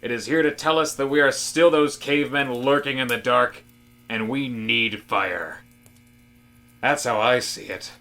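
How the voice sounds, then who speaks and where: A man's voice: mid-range voice, fairly even intonation, unhurried, bright, forceful tone. A man playing an earnest office worker in a comedic audio drama, musing dramatically and prone to exasperated rants. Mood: solemn, grand. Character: earnest male office worker philosophizing grandly about fire.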